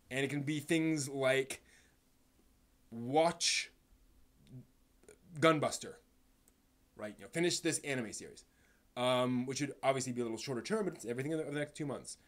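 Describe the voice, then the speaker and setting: Halting, casual male live stream host. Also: mid-range voice. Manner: halting, rambling, stumbles over words. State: tired. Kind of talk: casual live stream chat, answering chat questions.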